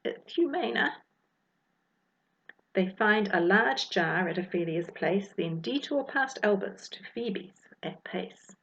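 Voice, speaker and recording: A woman's voice: mid-range voice, dull audio, slight background noise. A woman with a New Zealand accent reading a rhyming children's story expressively, as its author. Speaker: author reading her own book.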